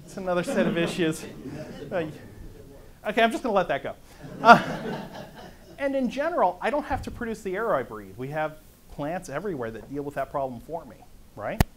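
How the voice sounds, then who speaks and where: A middle-aged man with a conversational, lively manner, lecturing to a conference audience in a meeting room. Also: fairly high pitch. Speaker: conference speaker. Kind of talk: lecture on economics.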